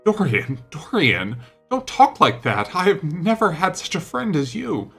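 A man voicing an earnest, indignant gentleman painter in an audiobook, speaking emphatically with emotion and reproach. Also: mid-range voice, big pitch swings, moderate pace, husky, soft background music, pitched above the performer's own voice. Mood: pleading.

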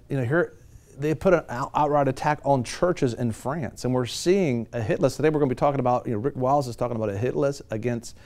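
A man's voice: mid-range voice, dark tone. A middle-aged American man hosting a news show and giving commentary in a studio.

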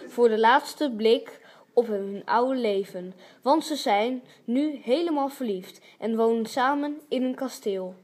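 A woman's voice: on the high side, unhurried, darkish tone. A woman narrating an amateur radio play as a storyteller.

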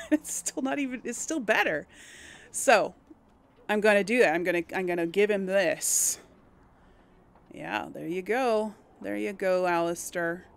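Slow, creaky-voiced woman musing over a game. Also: mid-range voice. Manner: hesitant, musing, talks to herself. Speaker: gaming host. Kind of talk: casual let's play commentary, thinking aloud.

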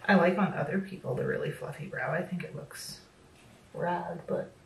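A woman's voice: fairly low pitch, normal speed, creaky, slight background noise. A woman in her thirties chatting casually through a makeup tutorial at home, self-deprecating and full of fillers, her voice a little worn. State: slightly losing her voice. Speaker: makeup vlogger. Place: small home room, indoors. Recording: roomy echo, a bit distant from the mic.

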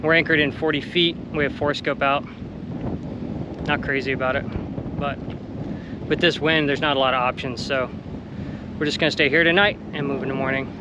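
Casual male sailing vlogger, slightly higher voice, laid-back and plain-spoken, recorded on deck with clear wind noise. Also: fairly even intonation, moderate pace, long pauses, muffled recording. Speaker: solo sailor and vlogger. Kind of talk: casual vlog to camera. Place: outdoors on a sailboat deck. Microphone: action camera at close range. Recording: wind noise on camera mic. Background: wind and water.

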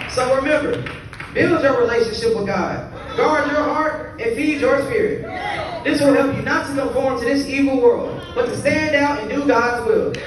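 Teen male youth preacher, high voice, earnest, distant phone capture in a very echoey church, crowd noise behind. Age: teens. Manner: earnest, reads scripture then preaches in short phrases. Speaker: youth speaker. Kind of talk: youth sermon at a convention. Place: large church sanctuary. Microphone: pulpit mic filmed by a phone from the pews. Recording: roomy far-off phone recording in a large hall. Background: congregation ambience.

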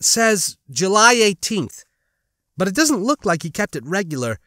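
A man's voice: high-pitched, big pitch swings, a little slow, a bit bright, dry recording, clean studio sound, voice pushed up, exaggerated voice. A man voicing an alert, earnest young woman survivor in an audiobook. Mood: excited, urgent.

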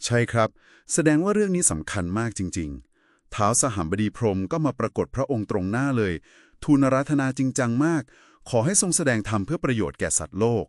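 A man's voice: mid-range voice, sing-song, brisk, no room sound, clean studio sound. A man giving a polite, didactic explanation in a conversational discussion of Buddhist scripture. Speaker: explainer in a two-person discussion.

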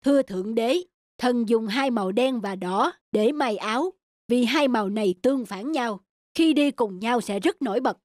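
A woman's voice: on the high side, normal speed, a little mellow, dry recording, studio quality, natural voice. A woman voicing a scheming, jealous female angel of darkness in a cartoon, with theatrical delivery. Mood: proud. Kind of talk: dubbed cartoon acting.

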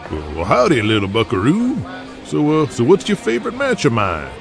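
A man acting a big, folksy wrestling hero in an audio drama. His hearty cowboy talk is creaky and swings lively in pitch. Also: moderate pace, over music. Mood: friendly, amused.

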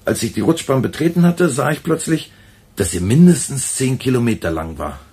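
A man's voice: medium pitch, very animated, normal speed, a little mellow, breathy edge, full character voice. A man playing a wry, weary intellectual radio editor in a radio play, with a dry, understated delivery. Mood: uneasy recounting. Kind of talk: German radio play acting.

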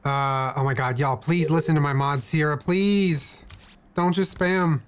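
A man's voice: fairly high pitch, fairly even intonation, measured pace, a little hiss or hum. A young man talking casually to viewers and fellow players while live streaming a game. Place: indoor room, streaming setup.

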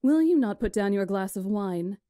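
A woman as a mellow, composed young witch. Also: medium pitch, lively intonation, no echo, clean studio sound, natural voice. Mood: commanding. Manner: measured, formal storytelling. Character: composed, dignified young witch who keeps the chronicles. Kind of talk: visual novel voice acting.

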